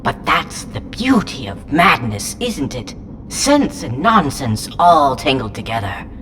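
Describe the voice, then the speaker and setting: Wildly swooping ancient alien robot. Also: female voice, a bass voice, sing-song, slow pace, exaggerated voice. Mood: lofty, mocking. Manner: theatrical, rambling, pompous riddles with repeated words. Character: ancient alien shard in a small robot, whimsical, haughty, eccentric. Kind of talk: full-cast audio drama acting. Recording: clear noise bed behind the voice.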